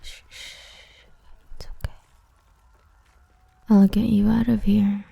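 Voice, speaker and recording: A woman playing an obsessive, protective villain with destructive powers, whispering softly and possessively into a close mic in an ASMR roleplay. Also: mid-range voice, flat delivery, takes it slowly, vocal fry, slightly rough, no echo, full character voice. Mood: soothing, possessive.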